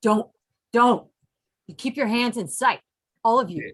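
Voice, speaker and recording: An actress reading a wary, commanding gang leader at a horror film table read. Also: female voice, on the high side, a little slow. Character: commanding, wary armed woman leading a group.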